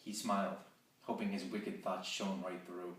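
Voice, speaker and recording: Deep-voiced man reading romance aloud. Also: flat delivery, moderate pace, fairly bright tone. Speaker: narrator reading an excerpt. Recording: roomy, distant, faint hiss.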